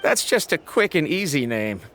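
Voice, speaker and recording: A man's voice: a little high, big pitch swings, normal speed, fairly bright tone. An actor playing an eager young male scholar in a video game, lecturing about his theories in a pedantic way. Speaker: researcher. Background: game music.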